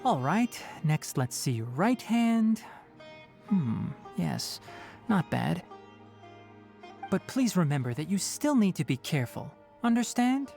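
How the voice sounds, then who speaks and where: A composed young man, an exacting forest ranger master in a video game. The voice sits low but moves in a lively, articulate, instructive way. Faint music runs underneath. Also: slow, vocal fry, full character voice. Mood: firm, instructive.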